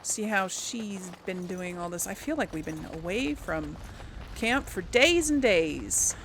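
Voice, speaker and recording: A woman doing casual let's play commentary, musing aloud in a halting way. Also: on the low side, lively intonation, noisy background. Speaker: gaming channel host.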